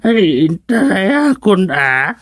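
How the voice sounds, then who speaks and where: Slow, swooping, whiny confused elder. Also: medium pitch, big pitch swings, no room sound, studio quality. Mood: whiny, insistent. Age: older. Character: confused, stubborn elderly grandparent called Apong. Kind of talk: Ilocano radio comedy drama acting.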